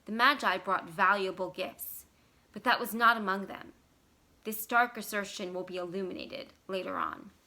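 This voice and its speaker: A woman reading a short story aloud as scripted narration, with expressive, deliberate and dramatic phrasing. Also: mid-range voice. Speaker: library staff storyteller.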